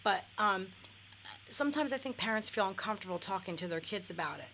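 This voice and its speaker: A woman's voice: mid-range voice, expressive, fast talker, fairly bright tone, slight background noise. A middle-aged woman, a guest on a radio talk show, talking candidly with lots of fillers and restarts. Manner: candid, many fillers and self-corrections. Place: radio studio.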